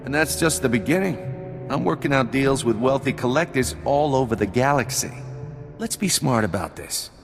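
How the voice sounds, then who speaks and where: An actor playing a brazen, greedy smuggler in a video game, with a glib, wheedling sales patter. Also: male voice, medium pitch, expressive, normal speed, close to an everyday voice. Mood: persuasive. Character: brazen, greedy male smuggler turned relic counterfeiter. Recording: long hall reverb, music behind.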